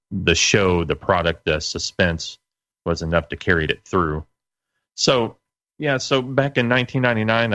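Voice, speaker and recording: A middle-aged man as an interview guest, casually recalling his work in a halting, self-correcting way. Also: sits low, a bit bright, dry recording. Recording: dull lo-fi dry sound.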